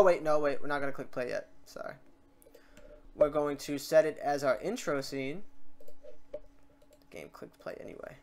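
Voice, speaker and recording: An informal tutorial host talking through software steps casually, muttering asides and self-corrections while working. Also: mid-range voice. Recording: noisy room, keyboard typing and clicks. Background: keyboard typing, mouse clicks, background noise.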